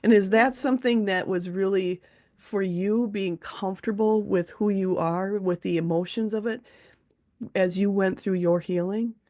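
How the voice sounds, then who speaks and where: A woman's voice: mid-range voice, steady tone, moderate pace, warm tone. A woman hosting an interview, speaking earnestly and at length as she relays messages from her spirit guides. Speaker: host, teacher and medium. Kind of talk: casual interview chat.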